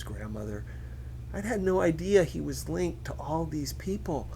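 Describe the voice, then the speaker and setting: Male narrator for first-person fiction, mid-pitched, reading from script, slight hum in recording. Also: darkish tone, poor audio. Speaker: audiobook reader.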